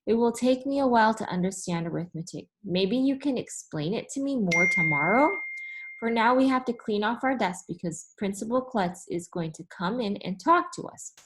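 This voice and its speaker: A woman's voice: mid-range voice, lively intonation, normal speed, a little hiss or hum, pitched above the performer's own voice, close to an everyday voice, rough recording. A woman voicing a dim, puzzled but cheerful second-grade schoolteacher in a storybook, with exaggerated, questioning confusion. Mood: apologetic.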